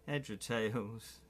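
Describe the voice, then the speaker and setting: A man with an English accent hosting a casual live stream, chatty and excitable, chuckling often as he unboxes things at home. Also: fairly low pitch. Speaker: livestream host.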